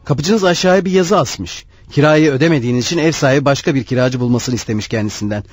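Actor as husky, quick, polite flat-hunter. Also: male voice, medium pitch, no echo, lightly acted. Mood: polite, hesitant. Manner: hesitant, courteous. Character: polite, hesitant but persistent prospective tenant. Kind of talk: radio play acting.

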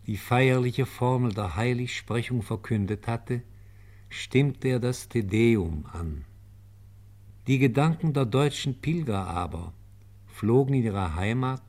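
A man narrating a radio play in a solemn, measured, reverent way. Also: mid-range voice, a little mellow.

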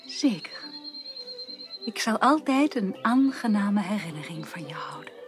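A woman's voice: mid-range voice, very animated, measured pace, over music. An actress playing a melancholy, broken young upper-class woman in a radio drama, formal and resigned, often subdued almost to a whisper. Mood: gentle, wistful. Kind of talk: 1980s Dutch radio drama acting.

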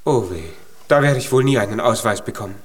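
A man's voice: mid-range voice, a little fast, slightly rough, close to an everyday voice. An actor in a children's radio play, playing a tiny male giant who is naive, earnest and full of hopeful pluck.

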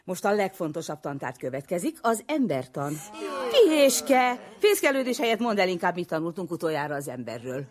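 A woman's voice: lowish voice, big pitch swings, crowd noise. A woman playing a strict, fussy bee schoolteacher who scolds and commands restless pupils in a dramatised children's story. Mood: stern.

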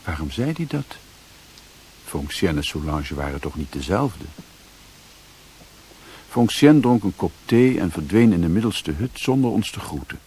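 An actor playing a weary, sombre adventurer, narrating his journey in measured, solemn literary style for a radio drama. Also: sits low, sing-song, dark tone, slight background noise, full character voice. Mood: grave, reflective.

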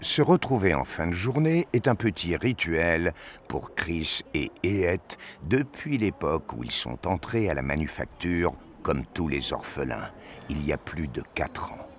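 A man's voice: sits low, sing-song, a little slow, a little hiss or hum. A man narrating a scripted science fiction audio drama in the manner of a storyteller.

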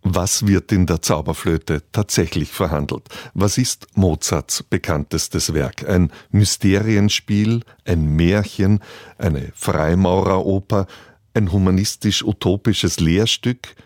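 A man presenting a radio programme in measured, formal scripted narration. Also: low-pitched, a little mellow, no echo, studio quality.

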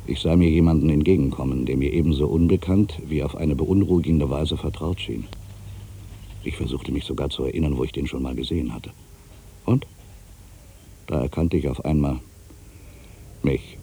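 Man acting very deep, gravelly ageing writer, brooding. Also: dark tone, heavily acted. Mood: uneasy, pensive. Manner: brooding, deliberate, with pensive pauses. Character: ageing male writer, solitary, brooding, wistfully revisiting his past. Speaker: monologue performer. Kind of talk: literary dramatic monologue, reflective first-person narration.

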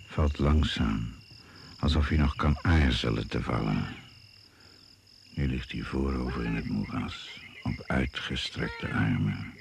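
An actor playing a brooding narrator of a radio jungle thriller, speaking in deliberate, suspenseful phrases. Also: male voice, a bass voice, gravelly, exaggerated voice. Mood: grave urgency. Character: brooding, ominous male storyteller of a jungle thriller. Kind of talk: Dutch radio play narration. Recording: noisy old radio play recording.

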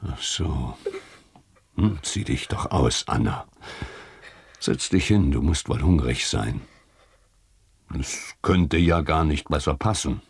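A man's voice: very deep voice, lively intonation, takes it slowly, vocal fry, long pauses, breathy edge, full character voice. An actor playing a jovial, boastful country father in a German radio drama, joking in an easygoing, folksy way. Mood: calm, jovial.

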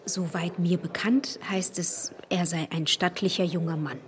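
An actress playing a reserved young lady who answers softly, evasively and with guarded politeness. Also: lowish voice, fairly even intonation, a little slow, lightly acted.

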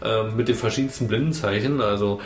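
A man with a fairly low voice chats as a podcast co-host, wandering through his anecdotes in a loose, conversational way. The room is audibly echoey, with faint music behind him. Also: brisk. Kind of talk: casual anecdote telling. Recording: roomy sound, faint music.

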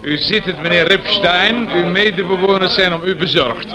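An actor playing a paternal, officious police inspector who repeats himself, in a noisy old radio play recording. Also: male voice, high voice, normal speed, a crowd in the background, close to an everyday voice. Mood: paternal, warning.

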